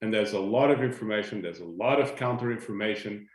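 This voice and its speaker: A man's voice: lowish voice, fast. A man from Portugal, speaking as a panelist in a video-call discussion, thoughtful and searching for words with a light joking touch. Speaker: industry panelist. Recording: echoey room.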